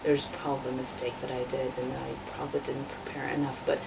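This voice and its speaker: Low-voiced woman, hesitant, distant mic. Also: level tone, quick pace, gravelly. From Tunisia, born in France. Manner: hesitant, many fillers and restarts, explaining. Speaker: constituent assembly member, guest. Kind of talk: interview answers. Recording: distant mic, noisy room ambience.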